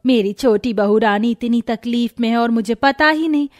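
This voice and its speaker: A woman's voice: fairly high pitch, normal speed, close to an everyday voice. A woman voicing a greedy, fawning middle-aged mother-in-law in a cartoon moral story, with a dramatic, fussing delivery. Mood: anxious, fawning.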